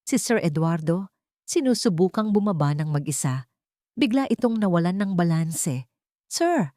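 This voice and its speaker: A woman's voice: lowish voice, expressive, moderate pace, dark tone, no room sound, clean studio sound. A woman with a Filipino accent narrating a dramatic story from a script. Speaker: audiobook narrator.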